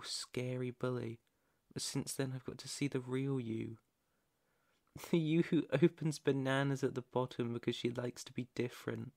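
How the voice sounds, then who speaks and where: Casting: male actor for shy, easily flustered British boy best friend, ASMR roleplay; unhurried, creaky, hesitant and tender delivery. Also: medium pitch, a little mellow. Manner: stammering, bashful, tender, hesitant. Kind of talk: ASMR roleplay acting, intimate one-sided dialogue.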